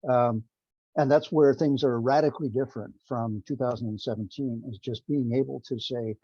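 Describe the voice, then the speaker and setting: A man giving an informal technical presentation on a teleconference, speaking in a halting, rambling way. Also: medium pitch, steady tone, dark tone. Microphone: remote teleconference call. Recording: dull, roomy conference-call audio.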